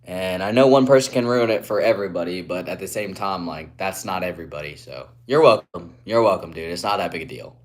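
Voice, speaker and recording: A young man from Guatemala on a casual live stream, reading comments aloud and answering in a laid-back way, often trailing off quietly, through a phone propped on a ledge. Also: sits low, brisk, few pauses. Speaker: livestreamer.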